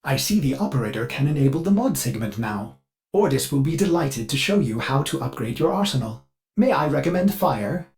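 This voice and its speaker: An eager-to-please artificial mind that runs a spaceship in a video game. The delivery is very animated in pitch, servile and theatrical. It halts now and then amid elaborate courtesy. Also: male voice. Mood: apologetic, eager. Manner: overly polite, theatrical, servile, halting. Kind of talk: video game character dialogue.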